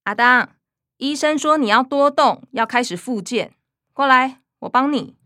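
A woman's voice: sits high, measured pace, pushed and bright, dry recording, clean studio sound. A woman playing a middle-aged, self-righteous, image-conscious daughter in a radio drama, earnest and scolding, with a Taiwanese accent. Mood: coaxing.

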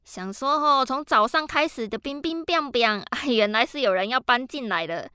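Give me a pushy, gossipy middle-aged neighbor woman with a Taiwanese Mandarin accent and a high, bright voice. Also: animated, clean tone, dry recording, clean studio sound. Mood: chatty. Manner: chatty, drawn-out fillers, pushy friendliness. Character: nosy chatty middle-aged neighbor woman, committee busybody. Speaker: neighborhood committee member. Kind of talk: radio drama acting.

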